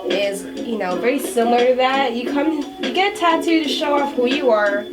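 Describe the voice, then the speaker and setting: A woman's voice: sits high, moderate pace. A chatty woman around twenty, born in Japan, a tattoo artist answering interview questions casually and laughing often. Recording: loud background music. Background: loud music.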